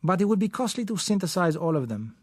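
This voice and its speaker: Man voicing a suave, higher-pitched schemer. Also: very animated, no echo, studio quality. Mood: gently persuasive. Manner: measured, courteous, hesitant phrasing with soft pauses. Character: suave, sly South American businessman, polite and gently insistent. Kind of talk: audiobook character voice.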